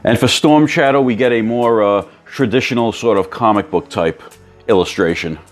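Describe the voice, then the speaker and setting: Brief: hoarse male toy reviewer, lowish voice, chatty vlog delivery, faint music under. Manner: chatty, informative.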